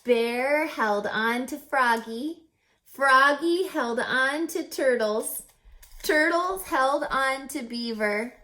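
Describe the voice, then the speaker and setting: A woman reading a picture book aloud like a teacher, deliberate and instructive, with dramatic pauses and questions for young listeners. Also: high voice, bright, forceful tone.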